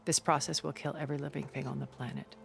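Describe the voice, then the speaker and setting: An actress playing a poised, persuasive immortal older woman with measured, elegant phrasing in a cinematic video game. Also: very deep voice, little pitch movement, creaky, faint music behind, heavily acted. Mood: resolute.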